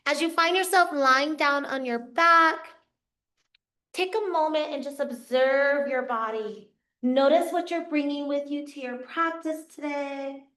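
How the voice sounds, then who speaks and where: A woman yoga teacher guiding a class through slow, soothing cues, her voice a little raspy from losing it. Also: high voice, fairly even intonation, bright, forceful tone. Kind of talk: guided yoga class instruction. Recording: echoey room, voice from far off.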